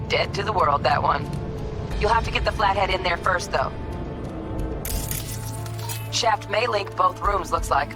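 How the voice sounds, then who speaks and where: A woman's voice: fairly low pitch, a little slow, long pauses, close to an everyday voice. Clipped, sardonic hacker woman played by an actress, music behind. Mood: focused, businesslike. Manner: clipped, slangy, dry. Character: brisk, sardonic female hacker guiding a heist team. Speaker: netrunner character in a game. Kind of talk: naturalistic video game voice acting.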